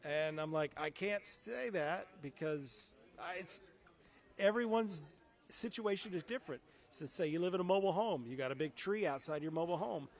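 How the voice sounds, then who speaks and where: A man's voice: fairly high pitch, normal speed. A middle-aged man, a television meteorologist, chatting in a casual interview and telling stories in a conversational way. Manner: conversational, anecdotal.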